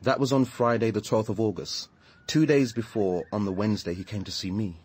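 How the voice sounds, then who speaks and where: An earnest British campaigner in a radio drama. His voice is fairly low and very husky, and he speaks in a confiding, insistent way, like someone troubled who needs to be heard. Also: brisk, darkish tone. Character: earnest, troubled male anti-nuclear activist living in a caravan.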